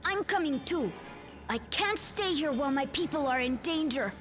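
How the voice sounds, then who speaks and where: A woman's voice: high voice, animated, moderate pace, a bit bright, faint music behind. An actress playing an earnest young girl, protective of her people, in a video game cutscene. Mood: determined.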